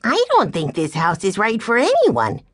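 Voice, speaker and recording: A woman's voice: mid-range voice, huge pitch swings, normal speed, no echo, clean studio sound, voice pushed up. A woman voicing a wide-eyed young boy who is blunt and wary.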